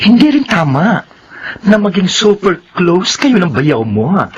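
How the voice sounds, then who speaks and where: A woman playing a sassy, frank and bossy best friend in a Filipino radio drama, with exaggerated, drawn-out delivery. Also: fairly low pitch, sing-song, highs cut off, a little hiss or hum. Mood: cautioning, gossipy.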